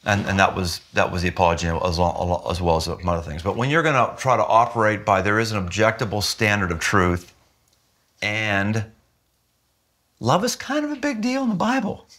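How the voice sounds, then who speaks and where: A man in his fifties, a senior pastor, talking earnestly and emphatically in a seated interview in a living room. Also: moderate pace. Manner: earnest, emphatic, with lively jumps in pitch when stressing points. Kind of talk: sit-down interview conversation. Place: living room with window, indoors.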